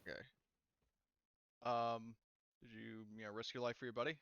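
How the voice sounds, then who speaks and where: A man speaking casually as game master after a session, laughing easily and often pausing to think. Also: mid-range voice, flat delivery, gravelly, a bit bright, muffled recording, a little hiss or hum. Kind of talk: casual post-game chat.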